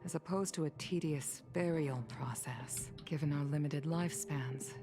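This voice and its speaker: Actress as low-voiced, grieving fox-woman commander. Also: close to an everyday voice. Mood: solemn, composed. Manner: formal, solemn, measured. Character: grieving, dignified middle-aged female fox-folk commander. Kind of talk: dramatic game dialogue. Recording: background music under voice.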